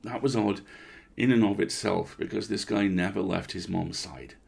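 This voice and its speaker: A man narrating a horror story in the first person, conversational and deliberate, with dramatic pauses. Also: sits low, gravelly, a little mellow, husky.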